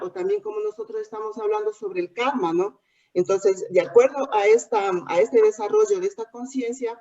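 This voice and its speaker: A woman's voice: medium pitch, warm tone. A woman student sharing her understanding in a class, speaking hesitantly and restarting as she thinks aloud. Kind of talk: class participation. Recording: distant, muffled video-call audio.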